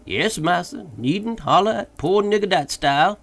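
A man's voice: sits high, lively intonation, vocal fry, a bit bright, voice pushed up, full character voice. A man voicing an eager, fearful, obedient servant with a heavy dialect in a storybook reading. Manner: heavy dialect speech, drawn-out exclamations.

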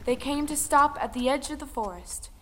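A woman narrating a scripted folktale in a measured, dramatic style. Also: high voice, slight background noise. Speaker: storyteller. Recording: music under the voice. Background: music.

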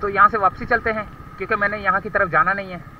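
High-voiced man vlogging from a motorcycle. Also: fairly even intonation, quick pace. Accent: Karachi Urdu. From Pakistan. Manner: rambling, searches for words while riding. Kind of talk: casual ride-along commentary. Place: outdoors on a motorcycle, muddy city street. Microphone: helmet camera. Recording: distant, dull lo-fi with faint traffic.

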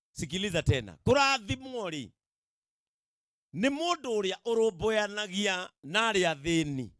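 A middle-aged Kenyan pastor with a Kikuyu accent, preaching emphatically to a gathering and often repeating himself to check they follow. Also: male voice, sits high, a bit bright, clear, resonant tone, no room sound. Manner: emphatic, repetitive, checks in with the audience. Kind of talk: sermon to a live gathering. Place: outdoor tented event. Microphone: handheld microphone.

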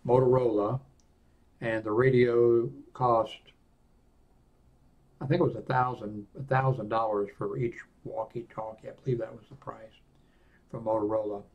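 An older man with an American accent giving an unscripted product walkthrough in a halting, rambling way that often trails off. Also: medium pitch, fairly even intonation, narrow, tinny audio. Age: 60s or older. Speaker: presenter of a radio equipment review. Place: small indoor room at a desk.

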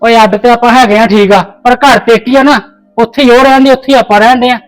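A man's voice: very high-pitched, steady tone, fairly quick, low-quality recording. A man playing an impatient young husband in a family skit, brushing off his wife with annoyed retorts. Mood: annoyed, dismissive. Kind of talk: scripted family comedy skit.

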